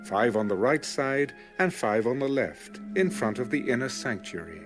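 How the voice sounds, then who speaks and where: A man reading scripture as scripted audiobook narration, measured and clearly enunciated. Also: medium pitch, lively intonation, music in the background. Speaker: Bible narrator.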